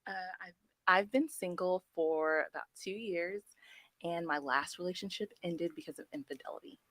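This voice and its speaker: A woman's voice: on the low side, normal speed, a bit bright, no echo. A woman aged thirty from Dallas, Texas, chatting casually as a dating show contestant. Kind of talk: casual chat.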